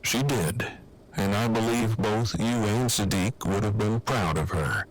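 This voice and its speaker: A man's voice: lowish voice, measured pace, poor audio. A man playing a formal, long-winded, earnest survivor in an audio drama, with stiff, precise diction over a walkie-talkie. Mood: reassuring, measured. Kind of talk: fan audio drama acting.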